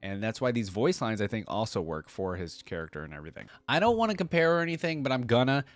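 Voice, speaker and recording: A man's voice: medium pitch, very animated, fast, no echo. A man giving casual, conversational commentary to viewers as the host of a reaction video, thinking his opinions through as he talks.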